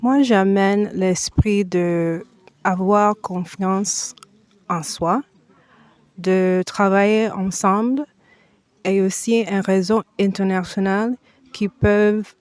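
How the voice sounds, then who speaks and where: A woman from the United States, answering a TV interviewer's questions slowly and with many hesitations. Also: medium pitch, steady tone, darkish tone, no echo. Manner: slow, halting, with frequent hesitations and restarts. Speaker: foundation president, guest.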